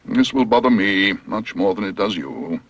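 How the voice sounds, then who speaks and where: A man voicing a fussy, pompous middle-aged male dentist in a storybook reading, speaking slowly and deliberately. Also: fairly low pitch, expressive, fairly bright tone, breathy edge, exaggerated voice. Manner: slow, measured, pompous.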